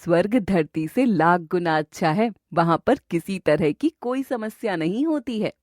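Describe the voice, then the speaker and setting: A woman voicing a sly fairy in an animated moral story, coaxing and composed. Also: lowish voice, unhurried, no room sound, clean studio sound. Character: sly fairy sweetly luring forest animals.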